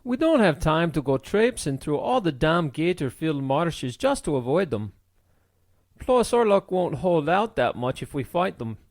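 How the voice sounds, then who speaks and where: Audiobook Scottish adventurer, done by a man, cautious and argumentative, lively delivery in a dry sound. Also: on the high side, normal speed, no room sound. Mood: wary, insistent. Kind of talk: audiobook character voice.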